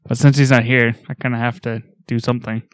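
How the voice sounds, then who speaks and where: A man's voice: medium pitch, level tone, fast talker, no echo. A man co-hosting a podcast, giving a casual rundown in an understated manner with dry humor. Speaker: podcast co-host.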